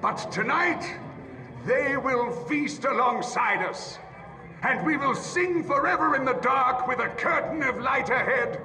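A man's voice: very high-pitched, a little slow, bright, forceful tone, exaggerated voice. A man playing a savage, triumphant cannibal survivor, speaking with grandiose ceremony in a game audio log. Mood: triumphant, ominous. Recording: echoing hall, slightly distant.

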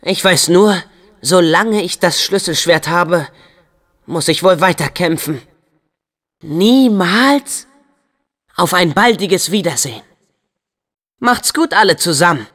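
An eager brave teen boy game hero, really animated, unhurried, big long reverb on it. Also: high voice, full character voice. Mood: warm. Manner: eager, expressive, youthful delivery. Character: upbeat, brave, earnest teenage boy hero. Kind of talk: German video game dub acting.

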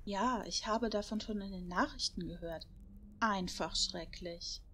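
A woman's voice: mid-range voice, a little slow, fairly bright tone, a little hiss or hum, close to an everyday voice. A woman playing a kindly, regretful shelter manager in a parody audio drama.

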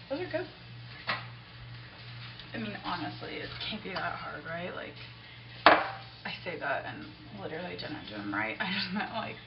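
A young woman talks in an easygoing, rambling way to the camera while cooking in a home kitchen. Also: mid-range voice. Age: young adult. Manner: easygoing, rambling, self-excusing. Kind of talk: casual unplanned chat to camera while baking. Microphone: camera mic a few feet away. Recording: roomy, distant, dull camera audio with music.

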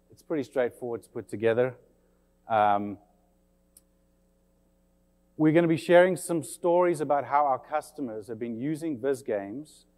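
A man with a South African accent, slightly worn by years in England, presents a conference session in a friendly, chatty way. His voice sits at a middle pitch and rings with the long reverb of a hall. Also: darkish tone. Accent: South African, slightly English-tinged. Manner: friendly, chatty, with many fillers. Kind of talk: conference talk to an audience.

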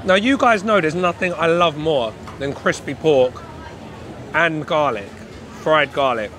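Chatty food vlogger, a man in his thirties, slightly high voice, talking to camera outdoors with faint street noise around. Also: a little slow, a bit bright. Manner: chatty, enthusiastic, addresses viewers as guys. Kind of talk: casual street food vlog. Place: outdoor night market. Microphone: handheld camera at arm's length.

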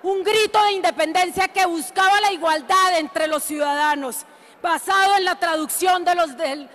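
A woman, delivering a forceful, indignant political speech in a parliament chamber. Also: extremely high pitch, normal speed, big-room sound, slight background noise. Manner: forceful, indignant, emphatic oratory. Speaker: congresswoman. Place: large chamber of parliament, indoors.

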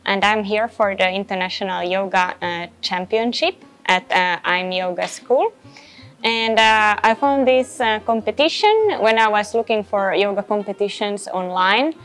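Mid-pitched woman from Finland. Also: animated, measured pace, a bit bright. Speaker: yoga competition participant. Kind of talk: casual on-camera testimonial. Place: indoor room by a window.

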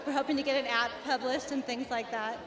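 A woman's voice: high-pitched, fairly even intonation, fairly quick. A middle-aged woman representing a company, explaining her work earnestly into a handheld mic at an indoor expo. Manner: earnest, explanatory, many restarts. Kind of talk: expo interview. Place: indoor expo hall before a backdrop. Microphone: handheld mic held by the interviewer. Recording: echoey hall with crowd noise.

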